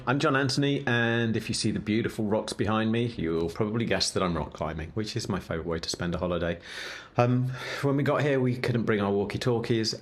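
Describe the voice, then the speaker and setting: A man talking casually to camera in a vlog, with faint ambient noise behind him. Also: on the low side. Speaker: vlogger, rock climber.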